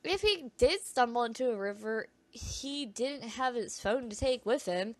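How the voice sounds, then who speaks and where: A woman's voice: a little high, lively intonation, a little slow, dry recording. A woman reading scripted creepy true stories in an informal way, stumbling over words now and then with self-conscious asides. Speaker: video narrator.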